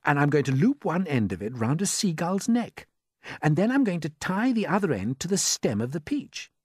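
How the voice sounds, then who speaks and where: A man's voice: a little high, big pitch swings, moderate pace, breathy edge, no echo, clean studio sound, pitched above the performer's own voice. A man voicing an earnest, determined, polite young boy in a children's story.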